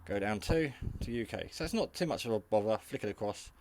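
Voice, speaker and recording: A man's voice: lowish voice, expressive, a little fast, a little mellow, a little hiss or hum. A man giving a casual, hands-on product demonstration in a hesitant, rambling, matter-of-fact way. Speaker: hobbyist radio reviewer. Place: garage.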